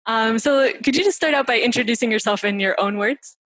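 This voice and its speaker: A woman's voice: on the high side, fairly quick, fairly bright tone, dry recording. A woman hosting an interview, asking questions in a friendly, upbeat way. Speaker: interview host. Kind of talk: hosted interview.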